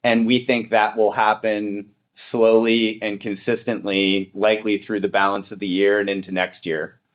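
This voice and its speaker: A man with a fairly low voice talks as a company executive fielding questions. His delivery is measured and full of business jargon. The sound is a little dull, as on a conference call. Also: level tone. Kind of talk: answering analyst questions, semi-formal business talk. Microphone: conference call line. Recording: muffled conference call audio.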